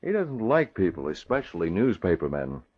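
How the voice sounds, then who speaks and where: An actor playing a young newspaper publisher in an old radio drama, a crisp, matter-of-fact boss giving directions. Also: male voice, low voice, big pitch swings, moderate pace, full character voice. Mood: businesslike. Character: young, businesslike male newspaper publisher, brisk and calm boss. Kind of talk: 1940s-50s radio acting. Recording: dull vintage radio recording.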